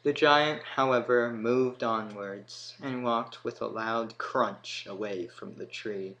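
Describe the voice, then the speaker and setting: A man reads a fantasy tale as a dramatic narrator. He takes his time, swelling on some words and dropping low on others, in a middling register. There is audible noise behind the voice. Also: slow, fairly bright tone. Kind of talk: scripted story narration, fantasy audio tale. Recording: clear background noise.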